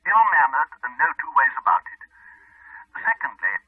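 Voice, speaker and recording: An actor playing a courteous, wry English country doctor, middle-aged, with a hesitant, chatty manner in a 1980s radio drama. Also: male voice, on the high side, sing-song, normal speed, breathy edge. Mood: apologetic, wry. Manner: hesitant, chatty, clipped phrases. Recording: slightly dull old radio recording.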